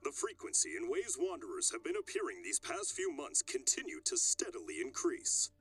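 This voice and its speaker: A man's voice: medium pitch, huge pitch swings, moderate pace, gravelly, fairly bright tone, husky, soft background music, heavily acted. A man playing a formal corporate spokesperson who declaims a product-launch speech. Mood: formal, promotional. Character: formal male corporate spokesperson presenting a new device.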